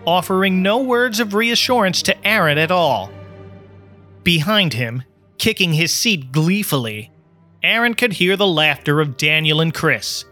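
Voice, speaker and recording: A man reading a scripted story as an audiobook narrator, in an expressive, measured storytelling manner. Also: a little high, no echo.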